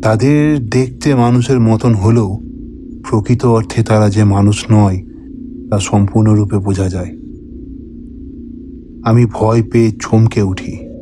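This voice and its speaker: Male horror narrator: lowish, mellow, unhurried and dramatic, reading scripted first-person story over music. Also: steady tone.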